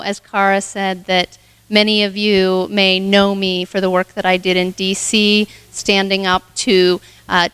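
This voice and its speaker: A woman's voice: medium pitch, bright, forceful tone, smooth, no room sound, in a large hall, low-quality recording. A woman giving a prepared campaign speech for state office, earnest and rehearsed. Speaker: state senate candidate.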